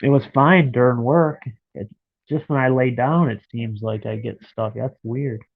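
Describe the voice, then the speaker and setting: A man's voice: mid-range voice, normal speed, gravelly, no room sound. A stuffed-up man in his thirties rambles casually to his live stream viewers from bed. His voice comes through a thin, phone-like line. The sound is dry and close, with no room around it. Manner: rambling, chatty, talks to viewers by name. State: stuffed-up nose. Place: in bed at home.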